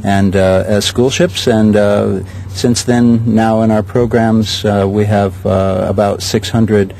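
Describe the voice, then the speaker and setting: An older man directing a sailing school, answering interview questions in a measured way with many pauses. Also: lowish voice, level tone, vocal fry, dark tone, slight background noise, poor audio. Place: outdoors at a regatta.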